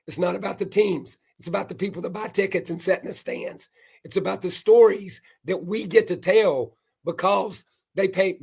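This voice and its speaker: An older man with a hoarse, worn voice giving folksy, emphatic live analysis of a race. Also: sits high, a little mellow. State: hoarse, losing his voice. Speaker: racing analyst.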